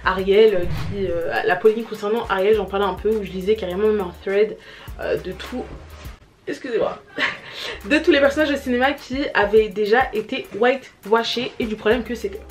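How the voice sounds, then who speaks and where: A woman's voice: mid-range voice, a little fast, faint music behind. A young woman vlogging at home to her camera, chatting casually about a series with lots of fillers and restarts. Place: home, family noises around. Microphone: camera mic.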